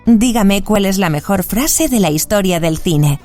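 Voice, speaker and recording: Formal female quiz-booth machine, played by a woman, lively pitch, announcing prompts. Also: unhurried, soft background music, close to an everyday voice. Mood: formal prompting. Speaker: quiz host voice.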